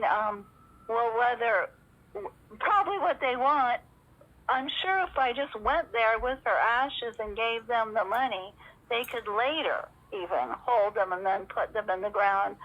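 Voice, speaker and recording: A middle-aged woman talking casually on a recorded phone call, rambling and hesitant as she goes. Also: on the high side. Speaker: caller in a personal phone conversation. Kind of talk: casual private phone chat. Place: telephone call. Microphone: telephone.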